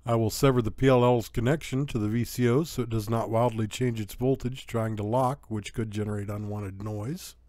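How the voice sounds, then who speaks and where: A man's voice: mid-range voice, a little mellow, dry recording, studio quality. A man reading scripted technical narration as an electronics tutorial narrator, in a methodical, matter-of-fact way.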